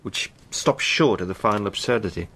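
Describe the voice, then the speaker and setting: A fervent British gentleman spy. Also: lowish voice, fairly quick, creaky. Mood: earnest. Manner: earnest, impassioned, literary. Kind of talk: radio drama dialogue.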